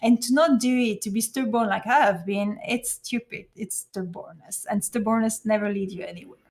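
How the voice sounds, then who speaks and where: A woman from Belgium with a French accent, a native French speaker, chatting easily as a podcast guest and often laughing or pausing to find her words. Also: mid-range voice, a little mellow. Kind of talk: casual interview chat.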